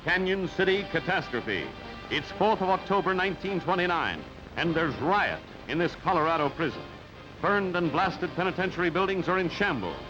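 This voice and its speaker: A man reads scripted newsreel narration in clipped, dramatic announcer phrases. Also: a little high. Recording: dull band-limited newsreel audio, loud music. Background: loud music.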